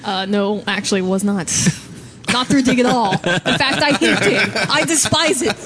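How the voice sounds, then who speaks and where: A woman's voice: medium pitch, a little slow, a bit bright. A woman guest on a live podcast, chatting casually and teasing with a giggle. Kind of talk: casual chat. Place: convention hall. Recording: live podcast with crowd noise.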